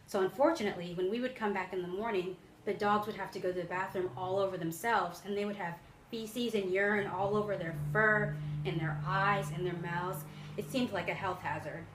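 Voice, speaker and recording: A young woman gives a student speech in an earnest, practiced delivery. Her voice sits at an average pitch. The room adds clear echo, and there is faint noise in the background. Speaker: student public speaker. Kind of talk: prepared persuasive speech.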